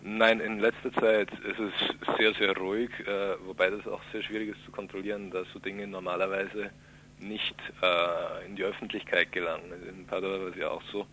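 A man being interviewed on radio, explaining hesitantly with many filler pauses. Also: sits low, level tone, sharp tone, a little hiss or hum, rough recording.

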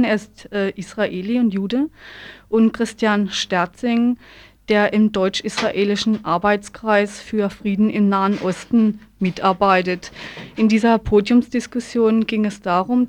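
Female radio presenter, middle pitch, semi-scripted introductions, explanatory, slightly halting delivery.

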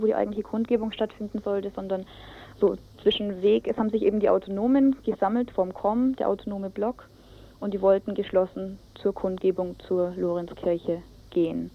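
A woman's voice: mid-range voice, slight background noise. A woman giving an informal eyewitness account as a radio reporter, often searching for words in a colloquial way. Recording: dull lo-fi radio tape.

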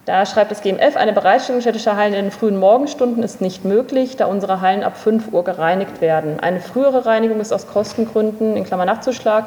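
Female official, mellow timbre, very echoey hall with faint noise, dry read-out of a document. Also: medium pitch. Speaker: head of municipal sports office. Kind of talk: reading a written official reply aloud. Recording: echoey hall, noisy room ambience.

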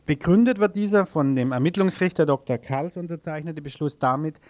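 Higher-pitched man presenting radio news. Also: a little fast, a little mellow. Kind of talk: live studio news overview, partly read out. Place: radio studio.

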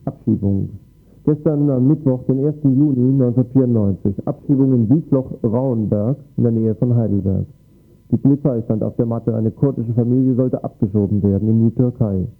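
A man's voice: mid-range voice, brisk. Guy reading scripted radio news, tinny narrow sound, faint music behind him.

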